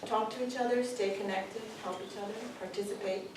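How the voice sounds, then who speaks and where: A middle-aged woman from Canada with a Canadian accent gives a joking graduation speech to pupils as a parent, often pausing with "um". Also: medium pitch, fairly even intonation. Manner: warm, joking, with frequent ums. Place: school hall with pupils. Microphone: distant camera. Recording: echoey hall from far off, room noise.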